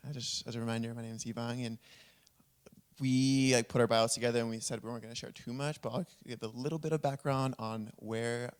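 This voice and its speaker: Man, conference panelist, earnest, explanatory, medium pitch. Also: steady tone, fairly quick, smooth. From Puerto Rico. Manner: earnest, explanatory, lists examples.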